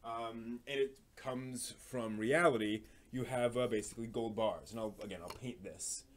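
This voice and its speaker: A man hosting a casual live stream, explaining hobby projects in a halting, thinking-aloud way. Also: mid-range voice.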